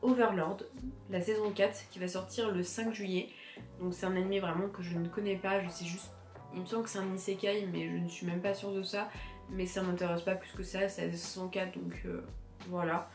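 A young woman with a cold talks to camera, her voice stuffy and nasal. She chats loosely, full of fillers and restarts. The room sounds echoey, with soft music playing underneath. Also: lowish voice, level tone, a little fast. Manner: chatty, many fillers and restarts. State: congested, stuffy nose from a cold. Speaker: anime vlogger. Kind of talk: casual chat to camera. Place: room with bookshelves. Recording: roomy echo, faint music behind.

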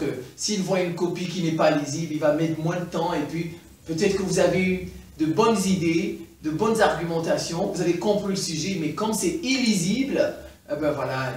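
A middle-aged man with a Central African French accent giving an animated chalkboard lesson, repeating points with many fillers. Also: fairly high pitch, recorded from a distance. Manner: animated, gesturing, repeats points with frequent "eh ben, voilà". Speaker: teacher. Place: classroom in front of a chalkboard. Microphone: far camera. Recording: very echoey room, voice from afar.

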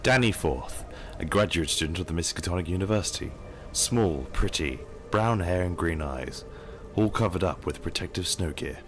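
A man's voice: low voice, animated, moderate pace, music in the background. A man playing a narrator who introduces characters in a scripted audio drama. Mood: descriptive. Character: deep-voiced male narrator introducing a character.

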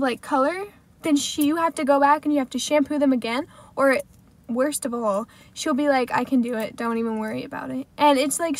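A young American woman with a high voice chats casually in a vlog recorded inside a car. Her talk is informal and chatty, sprinkled with "like" as she explains things to the camera. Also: fairly bright tone. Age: 20s. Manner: chatty, frequent "like" as filler. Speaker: salon assistant vlogger.

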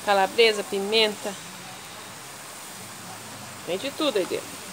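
A woman's voice: mid-range voice, a little slow. A woman chatting casually as a co-host, with short, agreeable, appreciative reactions. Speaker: co-host filming the cook. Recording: loud frying sizzle and stirring noise.

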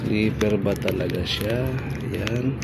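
A man's voice: on the low side, slow, loud music behind the voice. A middle-aged Filipino man chatting casually through an unboxing vlog, repeating short phrases. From Philippines. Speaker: barber vlogger.

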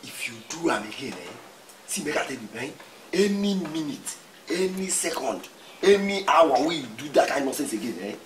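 A man's voice: on the high side, big pitch swings, moderate pace, slight background noise. A man with a Nigerian accent playing a scheming, commanding church leader in a film. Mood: menacing. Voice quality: commanding, threatening. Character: scheming middle-aged male church leader. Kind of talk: Nigerian Pidgin film acting. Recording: echoey room with music.

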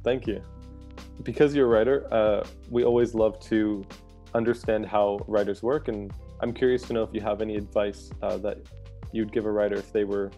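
A young man from Canada hosts the interview, his voice low and gravelly with a mellow, dark tone. He talks relaxed and friendly, with plenty of fillers, over an earphone mic in a home room. Faint music sits behind him. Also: normal speed. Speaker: host, co-editor of a literary journal. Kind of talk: casual interview over video call. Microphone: earphone mic on video call.

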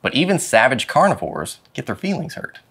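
A man reading a children's picture book aloud with dramatic, expressive phrasing. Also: mid-range voice, a little fast, gravelly, slight background noise. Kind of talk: expressive storybook read-aloud. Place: indoor studio with plain dark backdrop.

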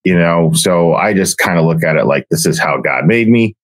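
A man livestreaming a casual monologue in a halting, hesitant, rambling way. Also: deep voice, lively intonation, dry recording. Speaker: livestreamer, online creator.

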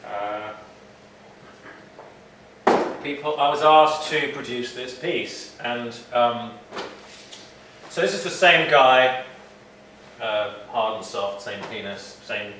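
A man's voice: medium pitch, sharp tone, slight background noise. A man in his forties from England giving an informal, rambling, self-deprecating talk about his artwork to an audience in a small gallery, recorded from a distant camera. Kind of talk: informal public talk with slides. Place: small dim gallery room. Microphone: far camera, no mic. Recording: roomy echo from far off.

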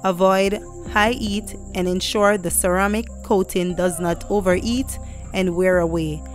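Young woman, mid-pitched, upbeat chatty vlogger on a lapel mic, faint music under her voice. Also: steady tone, measured pace. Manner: upbeat, chatty, addresses viewers directly. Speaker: lifestyle vlogger. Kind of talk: casual product unboxing. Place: home kitchen, indoors. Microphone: clip-on lapel mic.

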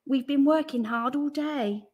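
Storybook girl played by a woman: cheerful friend, gentle, higher pitch. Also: a little slow. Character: Dotty, kindly, cheerful young female friend.

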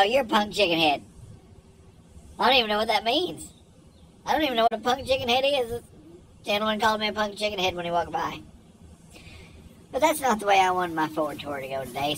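A man's voice: sits high, a bit bright. A man in a comedic vlog who plays a tour guide and rambles to his phone camera and to people off camera. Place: outdoors, by a lake, then indoors in a truck cab. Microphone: phone at arm's length. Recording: noisy outdoor phone recording.

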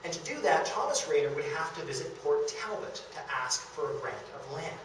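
Male history lecturer, slightly high voice, very echoey room, picked up from a distance. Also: big pitch swings, normal speed, background noise. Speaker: lecturer presenting a history talk. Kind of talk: illustrated public lecture. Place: indoor meeting room of a historic house. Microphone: camera across the room, far from speaker.

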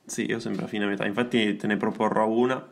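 A man's voice: lowish voice, little pitch movement, fairly quick, vocal fry. A young man, co-hosting a casual podcast chat. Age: 20s. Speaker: podcast co-host.

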